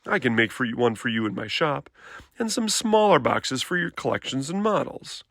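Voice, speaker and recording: A man voicing a reasonable, firm father bear who explains things in a measured way in a storybook. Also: medium pitch, sing-song, gravelly, a bit bright, dry recording, studio quality, exaggerated voice. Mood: encouraging.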